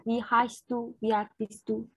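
A woman in her twenties with a Turkish accent, practicing a German speaking exam, pausing often with fillers. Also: on the high side, level tone, a bit bright, slightly rough, dry recording, lo-fi sound. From Turkey. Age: 20s. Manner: hesitant, many fillers. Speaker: nurse and language learner. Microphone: video call.

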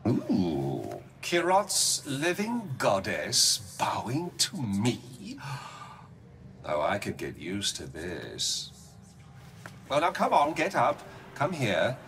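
A man's voice: fairly low pitch, wildly swooping pitch, takes it slowly, slight background noise, heavily acted. A man acting a flamboyant, volatile middle-aged tyrant king in a video game, theatrical and mocking, swinging from silky to explosive. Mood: silky, pleased. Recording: loud game ambience behind voice.

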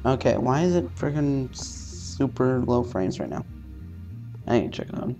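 A man playing a visual novel, reading its text aloud haltingly with casual, sweary commentary. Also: mid-range voice, expressive, warm tone. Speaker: let's-player. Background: faint game music.

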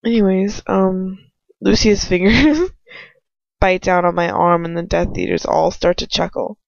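Gravelly, casual amateur story reader. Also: mid-range voice, measured pace, no echo, muffled recording. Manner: casual, breaks off to comment and stumble over words. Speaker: amateur fan fiction reader. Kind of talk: reading a story aloud, informal asides.

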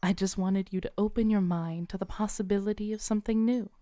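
A woman playing a kindly, knowing tarot reader at a Renaissance faire, speaking softly, smoothly and soothingly to the listener in an audio roleplay. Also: medium pitch, fairly even intonation, no room sound, natural voice. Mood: playful, warm. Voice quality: smooth, soft. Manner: unhurried, soothing, theatrical, with dramatic pauses. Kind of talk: soft-spoken audio roleplay addressed to the listener. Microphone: close studio mic, dry.

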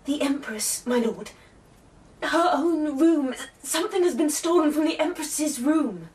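High-voiced, flustered lady-in-waiting. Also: animated, a little slow, darkish tone, recorded from a distance. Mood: panicked, urgent. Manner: breathless, urgent. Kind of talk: radio drama acting.

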